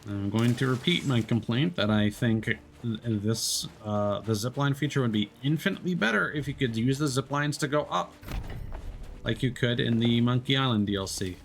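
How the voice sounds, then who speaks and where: A man's voice: medium pitch, normal speed, vocal fry, a little hiss or hum. A man streaming a solo game, chatting and musing aloud, with mild complaints, over faint game music. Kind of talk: casual gameplay commentary.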